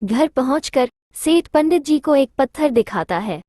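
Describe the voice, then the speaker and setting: A woman's voice: high voice, expressive, a little slow, mellow, no echo, clean studio sound. A woman narrating a scripted children's moral story.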